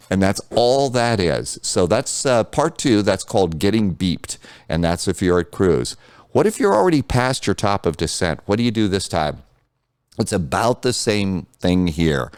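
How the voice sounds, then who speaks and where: A deep, smooth male voice with an American accent hosts a casual tutorial. He talks off the cuff in a folksy, reassuring manner, as if to newcomers. The sound is clean and dry, with very little room in it. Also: darkish tone, no room sound. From United States. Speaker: flight simulator tutorial host.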